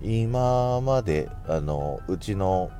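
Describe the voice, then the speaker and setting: Very deep-voiced man chatting solo. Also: animated, extremely slow, warm tone, faint music behind. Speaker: podcast host who works in radio sales. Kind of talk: casual solo chat.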